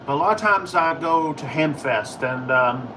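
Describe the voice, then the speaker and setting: American guy with kinda high voice rambling casually on a stream, bit far from the mic, steady noise behind. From United States. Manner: rambling, informal, halting. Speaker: livestream host, ham and CB radio hobbyist. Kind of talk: casual livestream chat answering viewer questions.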